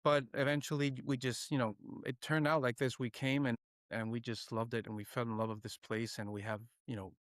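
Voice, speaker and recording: A man talks casually as an interview guest, in halting phrases padded with fillers. His voice is smooth and middle-pitched. The sound is dry, with little room around it. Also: flat delivery, fairly bright tone, dry recording. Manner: halting, often says "you know". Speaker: podcast guest.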